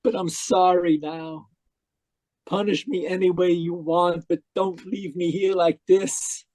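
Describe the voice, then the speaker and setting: A man's voice: sits high, fairly even intonation, slow, a little mellow, no room sound. A man playing an anguished, deluded murderer in an old-time radio drama, pleading and melodramatic. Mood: remorseful, pleading. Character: anguished, deluded male murderer convinced he is dead.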